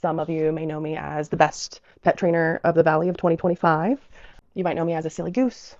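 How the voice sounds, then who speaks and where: A young woman with a very low, gravelly voice, nasal and stuffy from crying and a cold. She tells her story emotionally, with fillers and restarts. The recording is dry, with a slightly muffled tone. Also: steady tone, a little fast, darkish tone, no room sound. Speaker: dog trainer and business owner. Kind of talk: emotional personal vlog storytelling.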